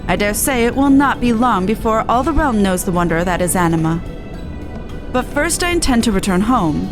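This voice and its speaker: A woman's voice: medium pitch, animated, moderate pace, music in the background, lightly acted. A woman voicing an earnest, polite young male scholar in a game, speaking formally and precisely.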